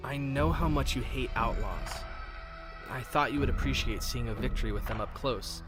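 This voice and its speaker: A man's voice: mid-range voice, normal speed, natural voice. A man acting a playful, self-doubting young Avatar in a fan-made visual novel, earnest and teasing. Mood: earnest, confiding. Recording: background music throughout.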